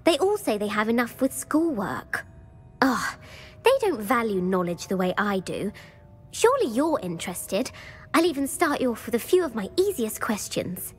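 A woman's voice: a little high, sing-song, normal speed, breathy edge. A young woman voicing a bookish student in a video game who eagerly lectures and quizzes others. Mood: earnest. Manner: eager and lecturing, bursts of praise.

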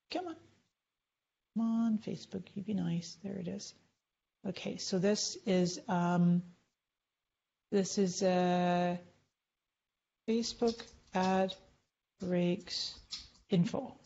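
A woman's voice: on the low side, gravelly, dark tone, dull audio. A middle-aged woman leading a chatty live tutorial on screen, clicking through and explaining as she goes. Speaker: social media marketing trainer.

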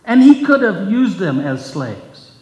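A man's voice: sits high, big pitch swings, warm tone, muffled recording. Older man preaching in an echoey church. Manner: earnest, measured preaching with scripture readings. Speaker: pastor. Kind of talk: sermon. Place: church sanctuary, at a pulpit. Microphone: pulpit microphone, far camera. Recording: echoey church hall from far off.

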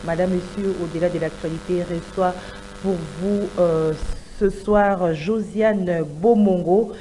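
A woman's voice: lowish voice, steady tone, unhurried, noisy background. A middle-aged woman with a Congolese French accent, hosting a political television interview in a studio. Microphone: gooseneck desk microphone.

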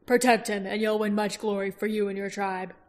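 A gruff young male warrior leader giving orders in the middle of a battle, from a storybook reading, as a woman narrator puts him on. He sounds firm and commanding, and his voice is close to the reader's own. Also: mid-range voice, flat delivery, brisk, natural voice.

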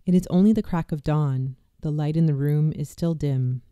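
A meditation guide narrating a guided visualization in a slow, soft, soothing delivery with hushed moments. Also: medium pitch, smooth, no room sound, studio quality.

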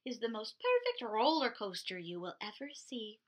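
A woman's voice: on the high side, huge pitch swings, normal speed, pitched below the performer's own voice. A woman voicing three kindly, fussing sisters in a children's storybook reading.

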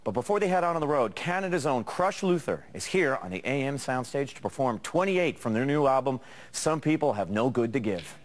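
A man's voice: medium pitch, lively intonation, brisk. A man in his thirties co-hosting a breakfast television show, joking with guests on a studio soundstage. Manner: upbeat, joking banter. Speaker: morning show co-host.

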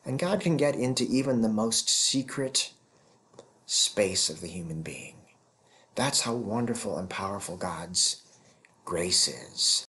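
Slow, low-voiced male professor lecturing. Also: lively intonation, sharp tone. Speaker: professor teaching scripture. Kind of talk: explanatory theology lecture.

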